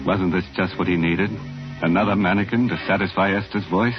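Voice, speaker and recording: An actor narrating an old-time radio thriller as an ominous, sardonic storyteller of the macabre. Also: male voice, low voice, steady tone, fairly quick, lightly acted. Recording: muffled vintage radio recording. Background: dramatic music.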